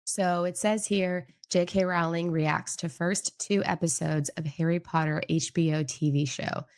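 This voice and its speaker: A young woman hosts a casual news recap, her voice nasal and stuffy from a cold. She speaks low with a gravelly edge and often hedges and rambles between fillers. The sound is close and dry. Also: steady tone, unhurried, a little mellow, dry recording. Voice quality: nasal, getting over a cold. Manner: rambling and hedging, with many fillers. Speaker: host of a news recap channel. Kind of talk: casual commentary reading an article aloud.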